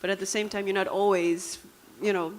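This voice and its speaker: A woman's voice: mid-range voice, flat delivery, a little fast. A young woman from Namibia with a Dutch accent, giving a reflective, conversational personal talk with frequent fillers. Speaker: speaker.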